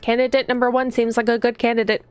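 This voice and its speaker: A woman's voice: on the high side, little pitch movement, fast, no echo. A woman streaming a game, chatting casually and reading aloud in a playful, teasing manner. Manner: playful, teasing, giggly asides to viewers. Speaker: streamer playing a visual novel. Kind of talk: casual live gameplay commentary and reading aloud. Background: game music.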